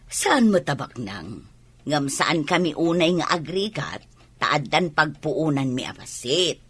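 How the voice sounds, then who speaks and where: Casting: actress as proud laundry-woman mother, forceful husky voice, audio drama. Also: low-pitched, sing-song, unhurried, dry recording, full character voice. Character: proud working-class parent doing laundry, hopeful for child. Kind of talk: dialogue in an Ilocano audio drama.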